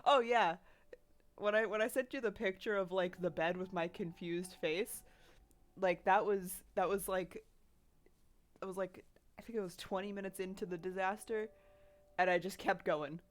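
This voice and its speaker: A woman's voice: medium pitch, steady tone, moderate pace, long pauses. A sniffly young woman streamer chatting casually from her bedroom, rambling and laughing often as she reads chat while she draws. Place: home bedroom.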